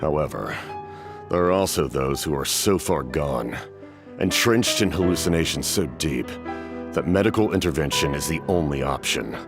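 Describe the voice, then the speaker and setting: A man voicing a stern, composed warrior captain in a video game, speaking in a formal, clinical, measured way. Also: very deep voice, lively intonation. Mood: grave, clinical. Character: stern, centuries-old imposing warrior captain, grave and composed. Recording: music behind the voice.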